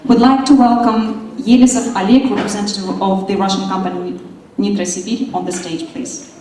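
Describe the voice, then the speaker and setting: A woman's voice: mid-range voice, little pitch movement, normal speed, background noise. A woman interprets speeches and introduces guests in short, formal lines. Her voice carries through a very echoey hall. She sounds distant from the microphone. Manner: brief, formal announcements. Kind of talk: event interpreting.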